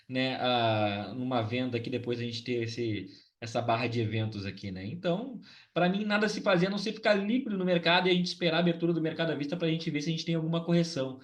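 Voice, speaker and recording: A slightly hoarse man with a Brazilian Portuguese accent, hosting a casual trading recap and talking chattily with many tag questions. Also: on the high side. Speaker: trading channel host.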